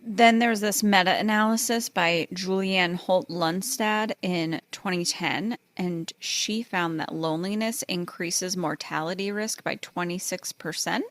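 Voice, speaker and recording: A woman's voice: on the low side, measured pace, few pauses, fairly bright tone, dry recording. A woman hosting a casual podcast chat, explaining research in a chatty, easygoing way. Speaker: podcast host.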